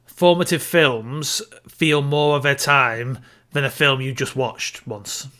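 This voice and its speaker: A man's voice: medium pitch, steady tone, takes it slowly, pushed and bright. A man co-hosting a podcast, chatting casually about films and games. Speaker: podcast co-host.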